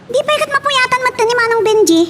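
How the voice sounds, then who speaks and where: A woman playing a bossy, quick-tempered young daughter with a Filipino accent in an audio drama. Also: very high-pitched, bright, forceful tone, a little hiss or hum. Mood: questioning. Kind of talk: amateur audio drama acting. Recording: slightly distant from microphone.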